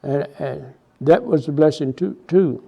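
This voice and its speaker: A man in his nineties with a Texas Southern accent speaks very slowly in a slightly raised voice. He stops and restarts often as he remembers. The recording is dull and lo-fi. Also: a little high, gravelly, breathy edge. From Houston, Texas. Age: 90s. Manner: halting, many repeated words and restarts. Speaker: interviewee, oral history. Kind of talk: reminiscing oral history interview.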